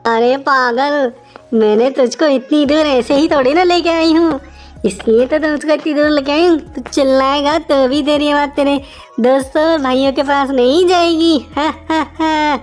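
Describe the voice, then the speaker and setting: Cunning storybook she-wolf played by a woman, high voice, taunting and boastful, music behind. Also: fairly even intonation, measured pace. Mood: gloating. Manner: taunting, theatrical. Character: cunning boastful female wolf, scheming and gloating.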